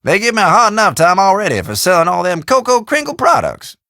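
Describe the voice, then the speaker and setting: Game role: rambling country storekeeper, Southern drawl, bright and creaky, pitch swinging wildly. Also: male voice, big pitch swings, fast talker, no echo, studio quality, exaggerated voice. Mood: folksy. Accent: American Southern or South Central country. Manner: folksy, rambling, theatrical drawl. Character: folksy, chatty, forgetful small-town male shopkeeper. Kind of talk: video game voice acting.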